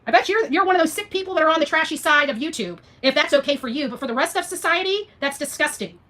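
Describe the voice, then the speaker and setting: A woman's voice: high-pitched, animated, fast talker, bright, forceful tone. A woman hosting an unscripted livestream rant, arguing with viewers in a combative, emphatic way, repeating phrases and firing off rhetorical questions. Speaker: livestream host responding to viewer comments.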